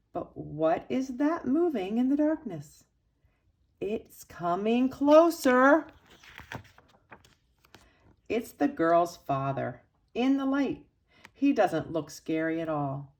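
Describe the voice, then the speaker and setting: A woman reading a children's picture book aloud expressively, with dramatic emphasis and pauses for young listeners. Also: on the high side. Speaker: storybook reader for children's church.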